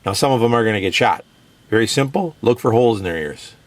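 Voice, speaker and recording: A man's voice: fairly low pitch, lively intonation, fast, no echo. A man hosting a radio show, talking in an emphatic, opinionated, casual way and leaning on "you know" and "guess what". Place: radio studio.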